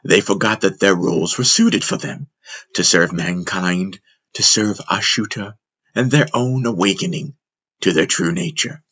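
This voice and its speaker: A man narrating a fantasy audiobook in a measured, solemn, dramatic storytelling style. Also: low-pitched, fairly bright tone.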